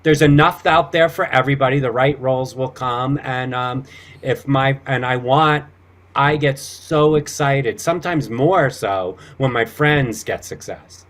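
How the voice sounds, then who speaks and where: A man with a sweet, gentle voice chatting casually and earnestly as an actor guest in an interview. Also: medium pitch, unhurried.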